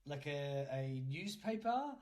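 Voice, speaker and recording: A man's voice: on the high side, very animated, slow, darkish tone, a little hiss or hum. A young man hosting a podcast in casual interview chat, joking and bantering as he goes. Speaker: podcast host.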